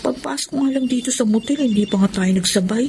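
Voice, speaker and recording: A woman's voice: a little high, fast talker, noisy background, close to an everyday voice. An actress playing a guilt-ridden young female student with a secret affair in a Tagalog radio drama. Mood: secretive, teasing. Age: young adult.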